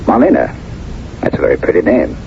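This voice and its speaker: Fifties American executive narrating radio drama: reserved, reflective, low register, dull noisy vintage recording. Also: male voice, huge pitch swings, a little fast, vocal fry, a little mellow, exaggerated voice. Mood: polite, hesitant. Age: 50s. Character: reserved, doubting American businessman in his fifties, also narrating. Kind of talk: 1970s radio drama acting and first-person narration.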